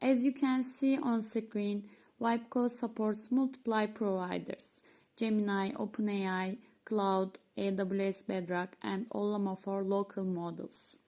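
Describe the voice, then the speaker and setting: A woman whose first language is Turkish, presenting a scripted walkthrough of software she built. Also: medium pitch, flat delivery, unhurried. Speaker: developer demoing her software. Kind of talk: scripted screen-recording walkthrough.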